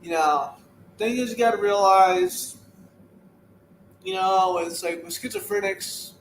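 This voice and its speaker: A man's voice: high-pitched, measured pace, echoey room, slight background noise, rough recording. A man in his thirties talking casually as a podcast guest, earnest and repetitive as he gives a motivational message. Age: 30s. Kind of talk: casual interview talk.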